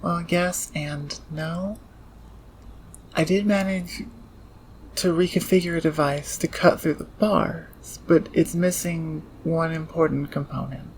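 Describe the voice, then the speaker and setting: An actor as a gravelly, awkward engineer thief. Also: medium pitch, fairly even intonation, takes it slowly. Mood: frustrated. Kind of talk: audio roleplay acting. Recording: clear background ambience noise.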